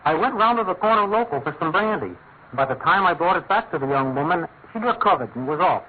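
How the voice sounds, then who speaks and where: Sheepish constable, animated pitch. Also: male voice, fast, slightly rough. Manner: chatty, apologetic, respectful. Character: earnest, deferential, sheepish male police constable. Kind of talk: classic radio drama acting. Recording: tinny, narrow-band old radio, faint noise.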